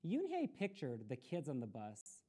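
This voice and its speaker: A woman reading a children's story aloud expressively, in a deliberate manner suited to young listeners. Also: very deep voice, wildly swooping pitch, dark tone, husky. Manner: deliberate, with explanatory asides to children. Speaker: storytime reader.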